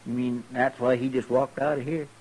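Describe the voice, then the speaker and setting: An actor playing an earnest, deferential marshal's helper with a rural American accent, folksy and anxious, in 1950s western radio style. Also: male voice, mid-range voice, little pitch movement, fairly quick, a little mellow, natural voice. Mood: worried, puzzled. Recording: noisy old radio recording with clicks.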